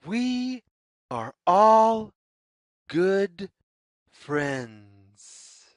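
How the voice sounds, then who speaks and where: A woman narrates a children's storybook at an extremely unhurried pace. Her pitch swings up and down in a sing-song way, with careful, deliberate phrasing. The recording is dry, with very little room sound. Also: sing-song, dry recording. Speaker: children's read-aloud narrator, teacher. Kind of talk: slow, expressive picture-book read-aloud for young children.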